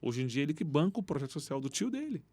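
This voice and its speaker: A man tells stories in lively street slang, his voice sitting a bit high. The sound is dry, close and plainly miked. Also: rapid-fire, no echo. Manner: animated storytelling with street slang. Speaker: football coach and guest. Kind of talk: casual interview talk. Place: podcast studio.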